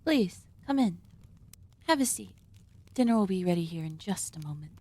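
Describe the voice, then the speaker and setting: A woman playing a polite, sinister female cannibal surgeon hosting dinner in an ASMR roleplay, speaking in formal, deliberate phrases. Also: on the low side, lively intonation, a little mellow, a little hiss or hum. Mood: gracious, welcoming. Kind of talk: ASMR roleplay, scripted monologue addressed to listener.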